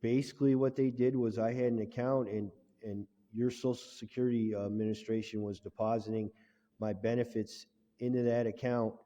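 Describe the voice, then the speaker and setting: A man speaking as a caller lodging a formal complaint, in an emphatic, halting, legalistic way, on a muffled and reverberant recording. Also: mid-range voice, little pitch movement, warm tone. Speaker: caller to a government agency.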